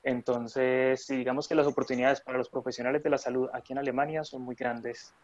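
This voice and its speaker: A man's voice: medium pitch, little pitch movement, brisk, dry recording, dull audio. A man from Colombia, a nurse in his thirties, chatting in a remote interview with many fillers and restarts.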